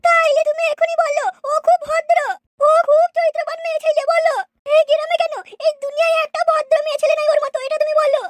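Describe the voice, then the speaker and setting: Squeaky, brash cartoon village woman. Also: flat delivery, fast talker. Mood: boastful, indignant. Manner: insistent, boastful. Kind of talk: cartoon dialogue. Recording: noisy track with clicks.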